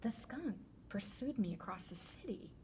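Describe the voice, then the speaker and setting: A woman reads a picture book to children in a mid-pitched voice with some creak in it. Her delivery is deliberate and expressive, in the manner of a school librarian. There is faint background noise on the recording. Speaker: teacher librarian.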